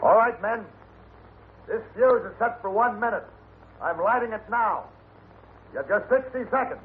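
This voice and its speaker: An actor playing a stern gunfighter calling out loud, commanding ultimatums in a 1950s Western radio drama. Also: male voice, high voice, normal speed, natural voice. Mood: stern, threatening. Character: gunfighter shouting stern ultimatums at holed-up outlaws. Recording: muffled old radio recording, faint hiss.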